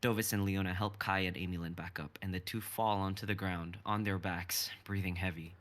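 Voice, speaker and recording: Deep, bright-toned young man narrating. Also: brisk. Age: 20s. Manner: steady descriptive narration. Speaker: narrator and reader. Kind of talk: dramatic script reading.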